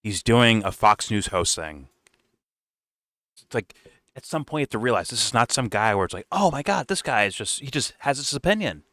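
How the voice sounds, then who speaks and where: A man in his twenties hosting a casual reaction show, talking in an animated way, swearing and laughing often. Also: mid-range voice, normal speed, no echo, studio quality. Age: 20s.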